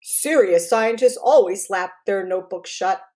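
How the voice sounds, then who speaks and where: A woman narrating a children's book aloud as a storyteller, in an expressive, deliberate way, phrased clearly for young listeners. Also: mid-range voice.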